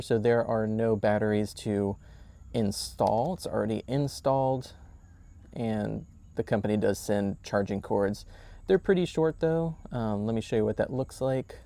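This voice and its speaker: A man's voice: medium pitch, a little slow, vocal fry, warm tone. A man, sounding middle-aged, gives a casual product demonstration in a plain-spoken, explanatory way. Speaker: product reviewer.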